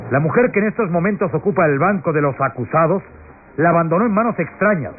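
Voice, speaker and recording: Actor doing old radio soap prosecutor guy, highish voice, pompous courtroom speech, super tinny sound. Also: slight background noise, close to an everyday voice. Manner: declamatory, solemn, theatrical. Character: pompous accusing male prosecutor addressing a jury. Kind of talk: melodramatic classic radio drama oratory.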